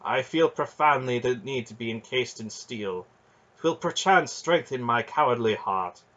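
A brooding, noble knight, played by a man reading a fantasy storybook, speaks in grave, archaic phrases with a husky edge. His voice rises and falls with feeling, and the recording is dull and lo-fi. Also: medium pitch, animated, measured pace, fairly bright tone. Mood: troubled, solemn. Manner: archaic courtly speech, earnest and declaiming. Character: brooding noble male knight, formal and solemn.

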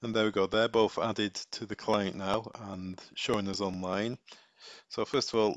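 Man, slightly deep voice, noticeable vocal fry, casual tutorial instructor, thinking aloud, faint background noise. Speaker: instructor giving a software setup tutorial. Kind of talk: casual step-by-step screen tutorial.

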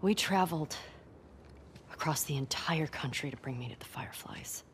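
A woman's voice: low-pitched, moderate pace, in a whisper, natural voice. A woman playing a wary, wounded young survivor in a video game, with an American accent and a subdued, earnest, naturalistic delivery. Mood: pleading, upset. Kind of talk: naturalistic cinematic game acting.

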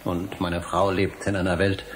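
An actor playing an apologetic, scholarly middle-aged husband in a German radio drama, courteous and contrite. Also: male voice, deep voice, level tone, moderate pace, a little hiss or hum, lightly acted.

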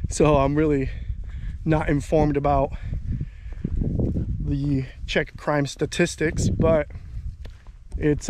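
A man's voice: on the high side, a little mellow, slight background noise. A man in his thirties casually vlogging outdoors while walking along a country road, rambling and thinking aloud. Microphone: handheld camera at arm's length.

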